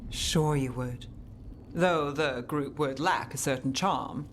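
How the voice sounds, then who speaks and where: Very low-voiced, resolute woman mage. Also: slow pace, a little hiss or hum. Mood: wry. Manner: guarded, matter-of-fact, dry. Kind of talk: video game character acting.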